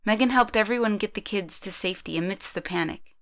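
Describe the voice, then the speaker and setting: A woman's voice: medium pitch, fairly quick. A woman reading scripted third-person narration for an amateur audio drama.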